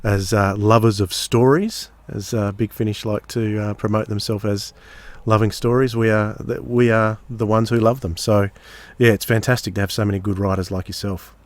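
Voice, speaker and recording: A man hosting a podcast in a casual, measured way, pausing mid-phrase. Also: fairly low pitch, fairly even intonation. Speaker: podcast host.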